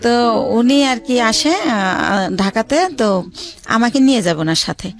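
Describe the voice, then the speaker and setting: A woman from Bangladesh telling her life story as a radio show guest, hesitant, with many pauses and fillers. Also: on the high side, animated.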